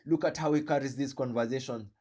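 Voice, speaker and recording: A man with a Kenyan accent hosting a reaction video, commenting in an animated, joking way and switching between slang and English. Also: medium pitch, quick pace. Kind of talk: commentary on a played clip.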